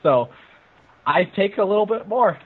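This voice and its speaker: Higher-voiced man on a phone-like call. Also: expressive, unhurried, breathy edge. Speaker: guest reviewer. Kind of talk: casual group film review chat. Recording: thin phone-like internet call.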